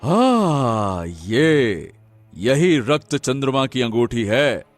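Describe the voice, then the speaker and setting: A man's voice: mid-range voice, sing-song, extremely slow, faint music behind. A man voicing an arrogant, power-hungry cartoon king with an imperious, theatrical delivery. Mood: awed, exultant. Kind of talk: cartoon story voice acting.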